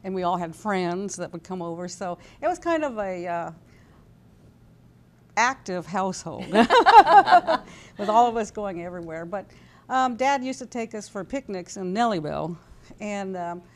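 A woman's voice: mid-range voice, big pitch swings, measured pace. A woman in her sixties from California with an American accent, reminiscing casually and hesitantly in a studio interview. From California, United States. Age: 60s. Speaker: interview guest. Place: TV studio, indoors at a desk. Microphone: lapel mic.

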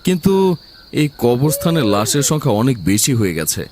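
A man's voice: mid-range voice, very animated, brisk, a crowd in the background, lightly acted. Man doing a morgue helper, plain mid voice, polite. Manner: matter-of-fact, deferential. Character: morgue assistant, doctor's helper.

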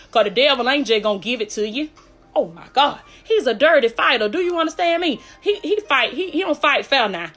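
A woman's voice: sits high, a bit bright. A woman with a Southern US accent preaching a fervent livestream sermon in an emphatic, hyped, exhorting way, with repeated refrains. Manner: hyped, fired-up delivery.

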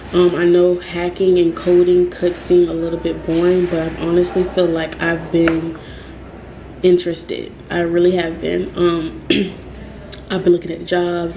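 A young woman talks to the camera in a rambling vlog, her voice low and mellow. She speaks in a flat, even tone and often stops to restart her sentences. The room sounds echoey, with faint ambient noise behind her. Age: young adult. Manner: rambling, frequent ums and self-corrections. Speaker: vlogger. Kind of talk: casual talk to camera.

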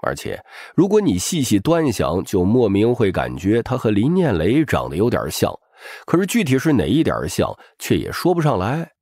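Low-voiced man narrating, very animated. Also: normal speed, no room sound, clean studio sound. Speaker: audiobook narrator. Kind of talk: dramatic scripted narration.

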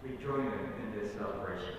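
Male pastor for earnest, solemn prayer in halting phrases; far-off church recording with clear rumbling noise. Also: very echoey, big-room sound. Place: church sanctuary, at the pulpit.

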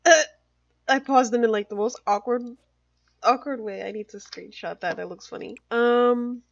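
A woman's voice: on the high side, normal speed, creaky, no room sound, lo-fi sound. A young woman, a mother, chatting casually through a let's play, filling her talk with "like" and little giggles. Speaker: gaming YouTuber.

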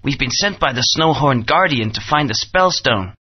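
A man's voice: medium pitch, expressive, normal speed, husky, dull audio. A man playing a polite, determined young fox hero.

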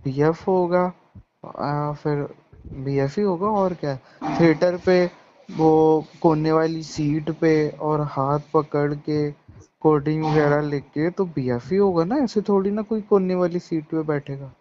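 A man's voice: a little high, steady tone, measured pace, darkish tone, close to an everyday voice. A young man playing a jealous, accusing ex-boyfriend in a staged phone call, insistent and repeating himself. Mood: accusing, hurt. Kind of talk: staged phone-call conversation in casual Hindi. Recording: dull lo-fi phone-call sound.

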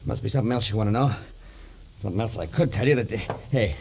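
An actor playing a vicious henchman in a 1940s radio crime drama, with a rough, husky voice. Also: lowish voice, fast talker, darkish tone. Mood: frightened, pleading. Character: vicious male henchman, cowed and pleading.

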